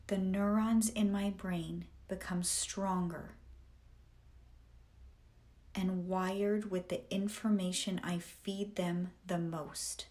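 Very slow-paced woman guiding meditation. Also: mid-range voice, steady tone, warm tone. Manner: deliberate, measured delivery in short phrases with pauses. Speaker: guided meditation narrator. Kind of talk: scripted guided meditation affirmations.

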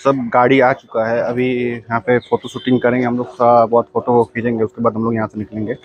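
A man's voice: mid-range voice, completely flat delivery, fast talker, lo-fi sound. A man, a vlogger giving plain matter-of-fact updates on a group ride.

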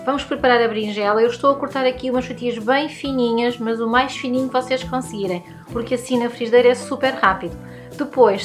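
A woman in her thirties with a European Portuguese accent, hosting a cooking tutorial and talking directly to her viewers in a friendly, instructive way. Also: on the high side. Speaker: cooking channel host. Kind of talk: recipe tutorial voice-over. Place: home room with bookshelves.

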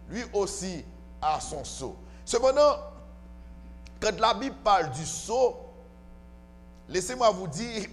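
A man preaching to a congregation as pastor, emphatic and often asking questions, on a handheld mic in a church. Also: high-pitched. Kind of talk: sermon. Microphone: handheld microphone, filmed from far camera. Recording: church hall reverb with faint music.

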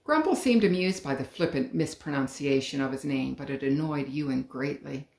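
A middle-aged woman, an author, reading her children's book aloud at home in a calm, clear storytelling manner. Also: rumbling low, moderate pace, a little hiss or hum. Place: living room at home. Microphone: webcam, head and shoulders. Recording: roomy living room.

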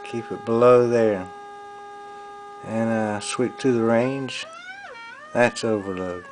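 A man's voice: mid-range voice, fairly even intonation, slow. A man giving a terse, off-the-cuff technical demonstration in short step-by-step fragments, recorded on a handheld camera in a small workshop. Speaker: electronics hobbyist demonstrator. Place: small cluttered indoor electronics workshop. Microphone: handheld camera microphone. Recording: noisy handheld camera audio with hum. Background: hum and test tone from audio gear.

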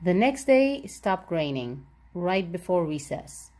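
A woman's voice: fairly low pitch, sing-song, a little slow, darkish tone. A woman reading a children's picture book aloud, expressively and with careful enunciation for young listeners. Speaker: read-aloud storybook narrator.

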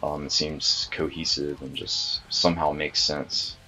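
A man from Atlanta speaks in a measured way with many "um"s. His voice is very deep and forceful, the recording is slightly dull, and music runs faintly underneath. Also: little pitch movement. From Atlanta, USA. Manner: measured, frequent "um". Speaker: band guitarist. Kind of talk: casual interview talk. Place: music studio, indoors.

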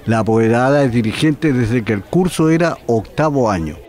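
A man narrating a local television news report in a formal, even reading voice. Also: medium pitch, normal speed, some chatter behind.

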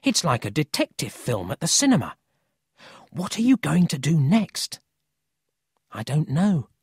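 A narrator voicing a cocky, excitable but friendly city boy in a children's storybook, brash and eager. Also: medium pitch, measured pace, slightly rough, no echo, clean studio sound, voice pushed up, full character voice. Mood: excited.

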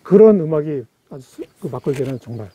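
Man, forties, eager music talker, fast and high-pitched, dark dry tone. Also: animated, slightly rough. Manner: eager, explains at length with many fillers. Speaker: music enthusiast guest. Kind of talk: casual chat. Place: outdoors in a rural mountain yard. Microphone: far camera.

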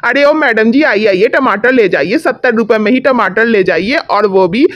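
High-voiced feisty village market woman played by an actress. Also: expressive, normal speed, muffled recording, lightly acted. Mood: coaxing. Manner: scolding, hawking, repeats phrases emphatically. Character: feisty, stubborn village tomato seller woman. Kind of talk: doll storytelling drama, dramatic role-play.

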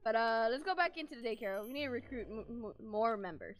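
A woman's voice: fairly high pitch, animated, a little fast, muffled recording, close to an everyday voice. A woman playing a childlike, bossy daycare girl in an improvised gaming roleplay. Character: bossy, dim, giggly daycare girl turned villain. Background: background music.

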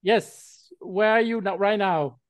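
A man's voice: sits high, slow pace, dry recording, phone-quality sound. Hesitant man hosting a video call. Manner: hesitant, many fillers and restarts. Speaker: meeting co-host. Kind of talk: hosting an online video meeting.

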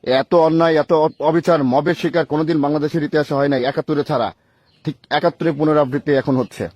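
A Bangladeshi man with a fairly high voice speaks on a recorded phone call. The sound is dry and close. He is stern and accusatory, declaiming his points like a political leader making a formal protest. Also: steady tone, fairly quick, a little mellow, no echo. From Gopalganj region, Bangladesh.

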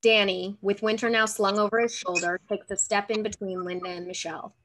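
Woman narrator for a table read, clear-cut bright tone, measured dramatic delivery, on a video call. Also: medium pitch, steady tone, low-quality recording. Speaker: narrator reading stage directions. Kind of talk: scripted narration.

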